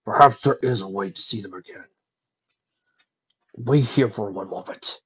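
A gentle, lovesick dragon from a storybook, played by a man. The delivery is subdued and earnest, carrying the sadness of an accursed creature. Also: fairly low pitch, moderate pace, husky, dull audio.

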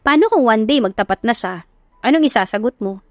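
A woman's voice: fairly high pitch, expressive, a little fast, no echo, faint music behind, close to an everyday voice. A woman voicing a lovestruck, doubtful young woman in an audio romance, confiding in an earnest, worried way.

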